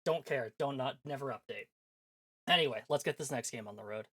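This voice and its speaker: A man's voice: mid-range voice, fairly quick, fairly bright tone, breathy edge. A man chatting casually as a livestream host, sarcastic and self-deprecating, with some swearing. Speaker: live streamer hosting visual novel previews. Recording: voice over background music.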